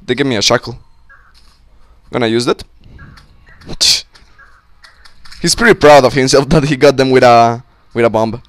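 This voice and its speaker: A young man with a slightly sick voice, giving casual, excitable commentary on a co-op game with friends on a close mic. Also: mid-range voice, moderate pace, pauses often, dry recording. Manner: excitable, swearing, joking with friends. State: sick, voice a little off. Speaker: gameplay commentator. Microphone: close-up desk mic.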